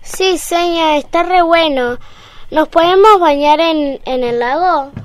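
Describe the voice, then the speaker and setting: A female performer playing an excitable schoolgirl in an amateur school radio play. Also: very high-pitched, takes it slowly. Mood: excited. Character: excitable schoolgirl on a class trip.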